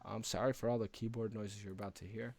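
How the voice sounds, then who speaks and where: A man's voice: fairly low pitch, fairly even intonation, fast talker, creaky, a little mellow. A man co-hosting a casual gaming podcast in an earnest, rambling way, close to the microphone. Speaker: podcast co-host.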